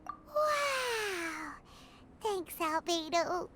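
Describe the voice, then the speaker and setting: A woman voicing a tiny, cheerful, excitable fairy companion in a video game, exclamatory in manner. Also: very high-pitched, very slow, background noise, full character voice. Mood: delighted. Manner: refers to herself in third person, exclamatory. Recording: music behind the voice.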